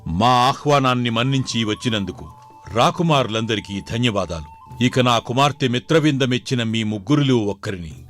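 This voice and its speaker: A man as storybook king, older, fatherly, formal, measured, animated pitch, light music behind. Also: lightly acted. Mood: formal, commanding. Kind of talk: dramatized Telugu moral story.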